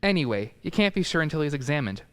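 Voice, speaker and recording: Woman playing excitable, low-voiced male store manager. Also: quick pace. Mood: persuading, fretful. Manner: exclamatory, fretful. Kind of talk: radio play acting.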